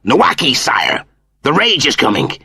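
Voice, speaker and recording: Alien messenger male reader acting it out: urgent, deferential, raised pitch, dry audiobook sound. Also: wildly swooping pitch, moderate pace, vocal fry, sharp tone, husky, no room sound, exaggerated voice. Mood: alarmed. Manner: alarmed, deferential to his commander.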